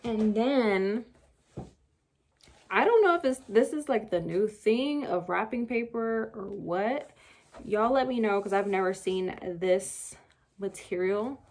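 A woman's voice: mid-range voice, lively intonation, slow pace, darkish tone. Young female vlogger, casual haul chat, rambly filler-heavy delivery, desk camera in a home room. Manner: chatty and rambling, many fillers. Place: bedroom beauty room at home, indoors.

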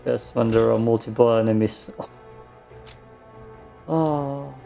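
A man's voice: medium pitch, slow, gravelly, warm tone, faint music behind. A man giving casual gameplay commentary, muttering to himself and given to swearing. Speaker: let's play streamer.

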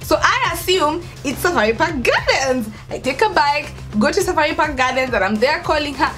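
A woman's voice: sits high, animated, moderate pace, pushed and bright, faint music behind. A young woman with a Kenyan accent telling a story casually to camera, dramatic and acting out the dialogue as she goes. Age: young adult. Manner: dramatic, acts out dialogue, code-switches into Swahili. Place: indoors by an open doorway at home.

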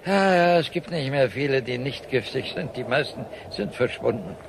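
An actor playing a patient, kindly old grandfather who gently explains things in a radio play. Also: medium pitch, animated, moderate pace, vocal fry, background noise. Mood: patient, cautioning. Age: older. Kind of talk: German radio play acting.

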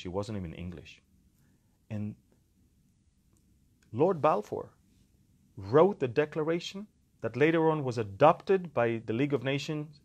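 A middle-aged man from Israel delivering opinionated current-events commentary straight to camera, emphatic and repeating words for stress. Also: medium pitch. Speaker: ministry leader giving news update. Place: bright furnished room indoors, seated by a window.

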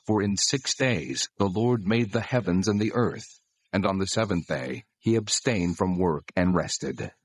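Deep, husky, solemn God. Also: male voice, no echo. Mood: solemn, commanding. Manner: solemn, deliberate, authoritative. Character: God, solemn male deity giving commands. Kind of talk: dramatized scripture reading.

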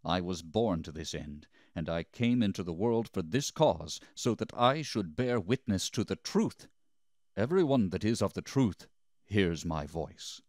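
A man playing a steadfast, solemn holy man held prisoner, speaking in a measured way in a dramatised scripture reading. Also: low voice, expressive, dry recording, clean studio sound. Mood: earnest.

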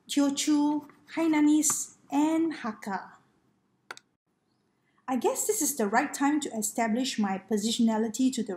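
A middle-aged woman from Malaysia, a researcher who reads her thesis presentation to a webcam in a measured, formal way. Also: high voice, darkish tone. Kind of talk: scripted academic presentation. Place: indoor room, on webcam. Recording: echoey room on a laptop mic.

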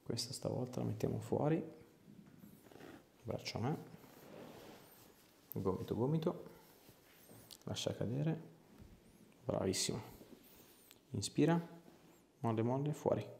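A man in his thirties working as a chiropractor, giving calm, casual, reassuring instructions and short questions to a patient. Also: medium pitch, slow pace, gravelly, pauses often, a little hiss or hum. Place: small clinic treatment room.

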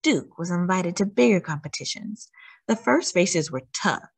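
A woman reads a children's story aloud with a lively, swooping intonation. Her voice sits at a medium pitch with a husky edge, and she leans on key words for young listeners. The sound is close and dry. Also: no room sound. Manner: animated, emphatic delivery with drawn-out words. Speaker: storytime host reading aloud.

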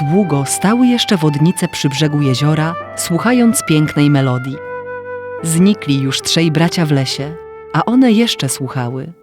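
Woman narrating a fairy tale, music behind. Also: low-pitched.

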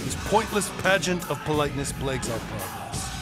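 A man's voice: fairly high pitch, big pitch swings, brisk, over loud music. A man voicing a pompous, wordy alien sorcerer in a video game, with a florid, alliterative way of talking. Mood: fussy, exasperated. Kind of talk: video game character acting.

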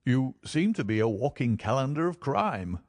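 A man voicing a genial, wry gentleman with a teasing, knowing manner in audiobook dialogue. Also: medium pitch, very animated, moderate pace, no echo, studio quality, pitched above the performer's own voice. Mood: jovial, teasing.

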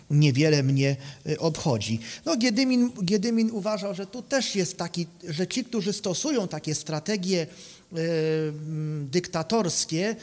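A man giving an academic conference lecture in a halting, repetitive manner, often adding a questioning tag. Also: a little high, darkish tone. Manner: halting, repeats words, often tags phrases with a questioning check. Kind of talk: conference lecture, partly improvised. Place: conference room, indoors. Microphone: microphone on a stand at a lectern. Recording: reverberant hall sound.

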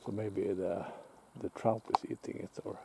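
A Norwegian man speaking English with a Norwegian accent, chatting in a relaxed, halting way while fishing alone by a lake, picked up by a camera on the shore. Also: low voice, vocal fry, background noise. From Norway. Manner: relaxed, musing, halting with restarts. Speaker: outdoor vlogger. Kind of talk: casual self-filmed commentary. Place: lakeside outdoors, off camera.

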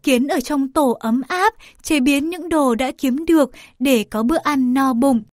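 High-pitched woman reading a children's story. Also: a little slow. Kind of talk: scripted children's story narration.

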